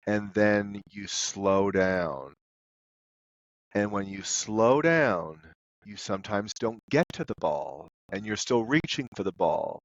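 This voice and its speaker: Male coach guest, low voice, explains with emphasis, dry and slightly dull recording. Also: lively intonation, takes it slowly, dry recording. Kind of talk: instructive interview answers.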